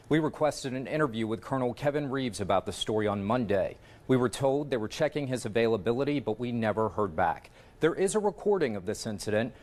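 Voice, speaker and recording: A man with an American accent reading a scripted investigative news report as a TV reporter. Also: medium pitch.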